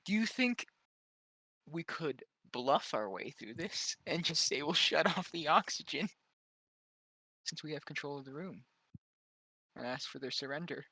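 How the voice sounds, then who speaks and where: A man with a fairly high, animated voice and a bright edge chats casually during a tabletop game. He sounds tentative and questioning, recorded close and dry. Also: unhurried, pauses often, no echo. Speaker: player in a tabletop roleplaying game.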